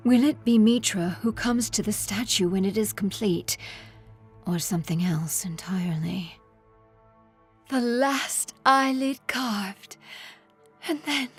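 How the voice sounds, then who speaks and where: A woman playing a solemn, haunted priestess and sculptor in a video game, speaking with measured reverence in a husky voice. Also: high voice, sharp tone, heavily acted. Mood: haunted, doubtful, then ecstatic awe. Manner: slow, measured, reverent speech. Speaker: religious character in a video game. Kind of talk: video game character dialogue.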